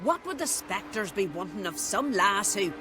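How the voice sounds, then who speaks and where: An actor playing a scornful, confrontational villager in a fantasy video game. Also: male voice, high voice, big pitch swings, normal speed, over loud music. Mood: indignant. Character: indignant, scornful male villager.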